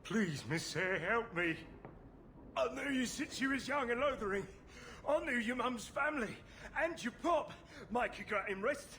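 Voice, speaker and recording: An actor voicing a desperate, humble miner in a video game, pleading and deferential with rustic turns of phrase. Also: male voice, extremely high pitch, animated, moderate pace, sharp tone, slight background noise, full character voice. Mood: frightened pleading. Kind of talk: video game cutscene acting.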